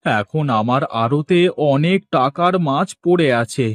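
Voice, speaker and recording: A man's voice: fairly high pitch, a little slow, no echo, close to an everyday voice. A man playing an anxious village fish wholesaler in a cartoon, exclamatory and given to repeating himself. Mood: desperate. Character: anxious village fish-wholesale boss, polite, easily panicked. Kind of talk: cartoon dialogue acting.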